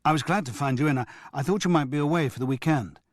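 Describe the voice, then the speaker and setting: A man's voice: a little high, fast, no room sound, clean studio sound, voice pushed up, natural voice. A man playing a stammering, apologetic middle-aged actor.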